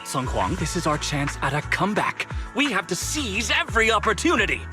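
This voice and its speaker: Excitable, theatrical film director. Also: male voice, high voice, brisk, music in the background. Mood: eager. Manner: theatrical, effusive. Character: excitable, hype-chasing male film director, dramatic about art. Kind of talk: anime-style video game voice acting.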